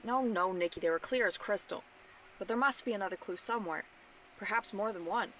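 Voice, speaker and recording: A woman plays a young amateur detective of a radio mystery, courteous and sure. The delivery is deliberate, at a middling pitch, with slight background noise. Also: fairly bright tone, lightly acted. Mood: triumphant. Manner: polite, assured, deliberate. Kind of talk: old-time radio drama acting. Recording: noisy amateur radio play recording.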